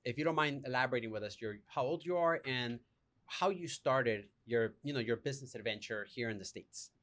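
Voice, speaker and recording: A man's voice: mid-range voice, brisk, fairly bright tone. A man hosting a podcast, welcoming a guest and asking long, rambling questions in an upbeat way. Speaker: podcast host. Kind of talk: casual podcast interview.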